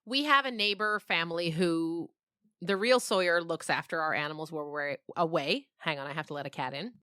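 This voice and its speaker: A woman's voice: fairly low pitch, a little fast, bright, forceful tone, clean tone, no room sound, studio quality. A woman novelist being interviewed, telling stories conversationally in an emphatic way and often checking in with the listener. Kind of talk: animated conversational storytelling.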